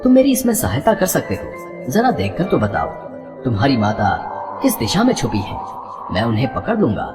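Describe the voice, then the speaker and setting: A man's voice: medium pitch, huge pitch swings, moderate pace, music in the background. A man voicing a good-natured, guileless god and fond husband in a devotional story, with formal, playfully bemused delivery. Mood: coaxing. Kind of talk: animated devotional story dialogue.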